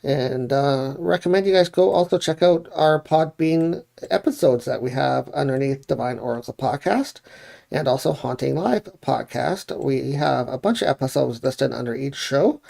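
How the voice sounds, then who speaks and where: A man's voice: medium pitch, normal speed, gravelly, warm tone. A man co-hosting a live stream, chatting casually as he moderates and promotes the shows. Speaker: co-host and chat moderator.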